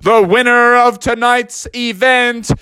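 A man voicing a grandly ceremonious boxing ring announcer with drawn-out delivery. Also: extremely high pitch, fairly even intonation, pushed and bright, smooth, dry recording, voice pushed up, heavily acted. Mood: triumphant.

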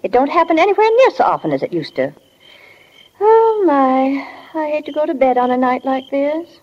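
A woman's voice: high voice, very animated, moderate pace. A woman playing a folksy, forgiving small-town housewife in a 1940s radio drama. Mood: soothing. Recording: dull 1940 radio recording.

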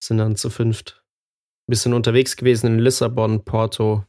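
A man's voice: on the low side, moderate pace. Guy with a cold, bit stuffy, laid-back rambling podcast chat, crude jokes. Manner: relaxed storytelling with fillers and crude jokes. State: has a cold, slightly stuffy. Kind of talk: casual chat.

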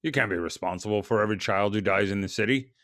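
A man voicing a practical Victorian editor, brisk and consoling with a chiding edge, in a story reading. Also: on the low side, fairly bright tone, no echo, clean studio sound.

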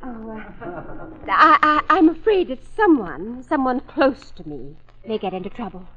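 A woman's voice: on the high side, very animated, normal speed, sharp tone, exaggerated voice. A woman playing a flustered, genteel politician's wife in a 1940s radio drama, hesitant and melodramatic. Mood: anxious, humiliated. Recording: dull lo-fi 1940s radio recording.

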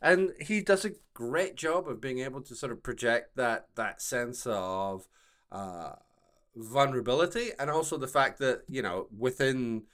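A man co-hosting a podcast, discussing and critiquing in a casual, halting way, repeating words for emphasis. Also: mid-range voice, fairly bright tone. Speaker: podcast co-host.

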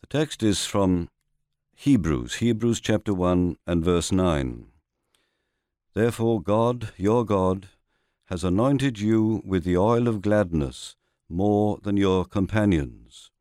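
Man, lowish pitch, very slow pace, formal sermon reading, deliberate phrasing, dry sound. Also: warm tone, no room sound. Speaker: reader of a historical sermon. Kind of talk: scripted sermon reading, expository.

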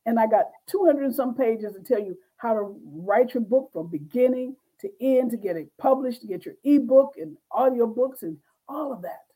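A woman's voice: a little high, expressive, moderate pace, hardly any pauses, warm tone, slightly rough, low-quality recording. Fervent woman in her sixties. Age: 60s. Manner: fervent, repetitive and rallying. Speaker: guest author and business coach. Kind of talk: motivational speaking.

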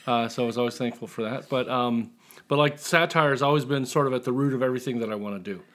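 A man's voice: medium pitch, fast talker, fairly bright tone. A man talking casually as a guest author in an interview, with a joking, self-deprecating manner.

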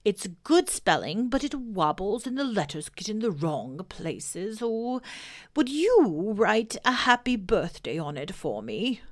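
Woman doing a soft earnest bear, mild and unhurried; storybook reading. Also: medium pitch, big pitch swings. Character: simple earnest gentle male bear.

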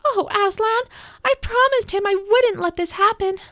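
Lady doing a little girl in a storybook, really high voice, earnest and emotional, dry sound. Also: normal speed, bright, forceful tone, no echo, exaggerated voice. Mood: distressed. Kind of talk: dramatic storybook reading.

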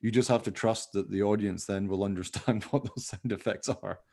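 A man's voice: lowish voice, moderate pace, vocal fry, a crowd in the background. A man in casual, informal conversation, talking in a rambling way as a podcast host. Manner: rambling, informal, often hedging with "you know". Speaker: podcast host, radio play writer. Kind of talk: casual chat.

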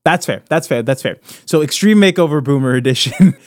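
A man with a slightly high voice and swooping, animated intonation, recorded dry and close. He co-hosts a casual group chat, excitable and quick to repeat short phrases as he thinks out loud. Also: no echo. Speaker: podcast co-host.

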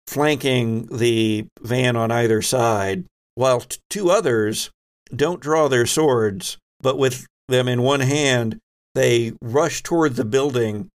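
A man with a slow, measured delivery runs a tabletop role-playing game. He sets scenes dramatically as game master, in a mid-pitched voice. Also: clear, resonant tone.

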